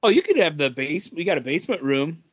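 A man's voice: a little high, sing-song, quick pace, muffled recording, crowd noise. A man co-hosting a podcast in casual chat, telling anecdotes and acting out little exchanges as he goes. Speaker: podcast co-host.

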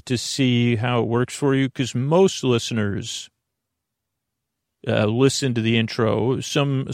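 A middle-aged man with a creaky, gravelly voice hosting a bedtime podcast in a meandering, halting monologue that keeps interrupting itself. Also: mid-range voice, dry recording, studio quality. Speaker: podcast host.

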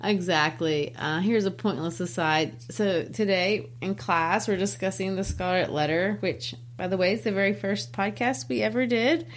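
A woman's voice: medium pitch, normal speed, hardly any pauses, a little hiss or hum. A woman who teaches and co-hosts a book podcast, talking in a chatty way and laughing easily. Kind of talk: casual book discussion.

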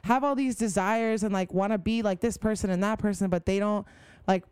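A young woman chats enthusiastically as a podcast guest, rambling and full of "like." Her voice is smooth and clear, recorded close and dry. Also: medium pitch, brisk, darkish tone, no echo. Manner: enthusiastic, rambling, many "like" fillers. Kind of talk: casual conversation.

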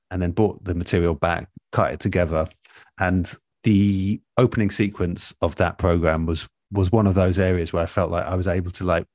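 A man with a low-pitched voice talks in a relaxed, reflective interview style as a radio producer. The sound is close and dry. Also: no room sound.

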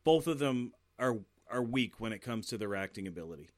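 A man in a relaxed film review chat, talking bluntly and swearing freely. His voice sits at an ordinary middle pitch, recorded dry and close with no room sound. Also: a little fast, no room sound. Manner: blunt, swears a lot, laughs often. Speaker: movie review podcast co-host. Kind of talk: casual profane chat.

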